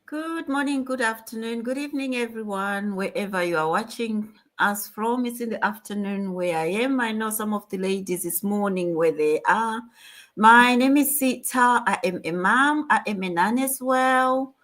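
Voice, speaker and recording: A woman from Zimbabwe giving a calm, formal self-introduction on a live online call. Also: on the high side, normal speed. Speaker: panelist. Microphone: video call.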